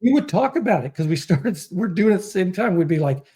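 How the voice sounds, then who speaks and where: A man hosting a casual interview, talking in a halting way and rephrasing in mid-thought. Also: high-pitched, expressive, dark tone, slightly rough. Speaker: interview host. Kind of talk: casual chat.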